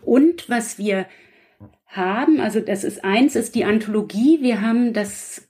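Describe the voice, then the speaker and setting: A woman's voice: a little high, measured pace, mellow, husky. A woman talking casually as a guest author on a podcast, explaining things in a chatty, loosely structured way.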